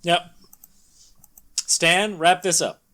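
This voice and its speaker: High-voiced man in casual joking chat. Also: steady tone, drawn-out words, sharp tone. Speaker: podcast co-host. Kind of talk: casual joking commentary on a comic reading.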